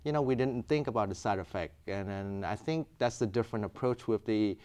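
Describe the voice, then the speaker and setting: A man from Vietnam with a fairly low voice. He speaks earnestly and patiently, explaining his medical work point by point. His English has grammar. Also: brisk, darkish tone, clear, resonant tone. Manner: earnest, explaining step by step, non-native grammar. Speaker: physician guest. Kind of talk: explanatory interview answers.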